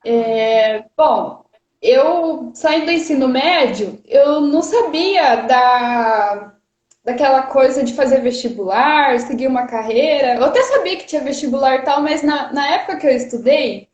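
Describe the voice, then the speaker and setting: A woman's voice: sits high, expressive, a little slow, a little mellow. A woman in her thirties who grew up in Brazil and speaks with a Paraná accent, chatting casually and a little nervously on a livestream, with lots of fillers and laughs. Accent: Paraná Brazilian Portuguese. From Rio de Janeiro, Brazil. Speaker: guest. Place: her parents' house, indoors. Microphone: phone on a charger. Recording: muffled, roomy livestream audio.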